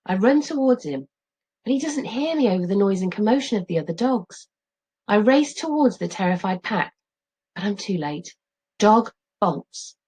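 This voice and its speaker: A middle-aged woman reads a children's story as a teacher would, with very expressive, varied intonation. Her voice has a slightly husky edge and a medium pitch. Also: moderate pace. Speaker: teacher reading aloud.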